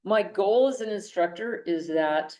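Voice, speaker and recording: A woman's voice: mid-range voice, measured pace, dark tone. A woman instructor giving a conversational classroom lecture, often restarting sentences and checking in with "okay". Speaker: engineering instructor. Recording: echoey classroom, from far off.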